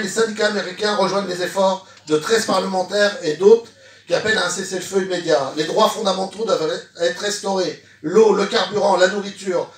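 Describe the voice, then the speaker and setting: A man speaking as a trade unionist at a public meeting, recorded from a distance in an echoey room. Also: high voice. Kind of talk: public meeting speech, partly reading a statement aloud.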